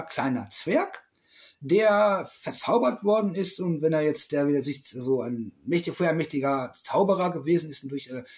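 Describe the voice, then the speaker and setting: Man, middle-aged, fairly high voice, very animated pitch, rambling, self-correcting, casual vlog, close webcam, small room. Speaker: toy collector vlogger.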